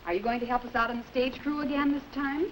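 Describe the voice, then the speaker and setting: A woman's voice: high-pitched, steady tone, quick pace, natural voice. An American woman playing an encouraging school drama director in a 1950s educational film, on a humming, noisy old soundtrack.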